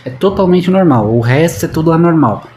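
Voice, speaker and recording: A man's voice: on the high side, animated, fairly quick, a little mellow, a little hiss or hum. A man with a Brazilian accent chatting casually in a sarcastic, crude way. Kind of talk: casual sarcastic reaction chat.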